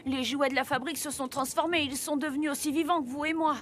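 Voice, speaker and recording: A woman's voice: high-pitched, fairly even intonation, fast, slight background noise, natural voice. A woman voicing an earnest, wide-eyed young boy in a children's cartoon. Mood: remorseful. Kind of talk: French children's cartoon acting. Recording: music under the voice.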